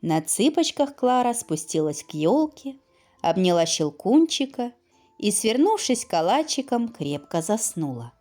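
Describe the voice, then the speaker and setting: A woman narrates a fairy tale for children with highly animated pitch. She takes her time, using dramatic pauses and an expressive storyteller's delivery. Faint music plays behind her.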